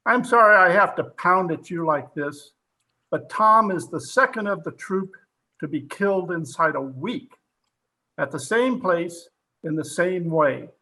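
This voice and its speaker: An actor playing a hard-nosed, sarcastic police captain in an old-time radio drama, interrogating forcefully and full of disbelief. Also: male voice, on the high side, exaggerated voice, low-quality recording. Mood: grim.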